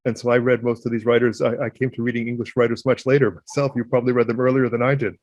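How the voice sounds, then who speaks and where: An older man from the United States whose first language was Ukrainian, hosting an interview. He talks fast and eagerly, with a dry, close sound. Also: medium pitch, little pitch movement, a little mellow, no echo, lo-fi sound. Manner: quick, eager, restarts phrases. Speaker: interview host. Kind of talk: interview conversation.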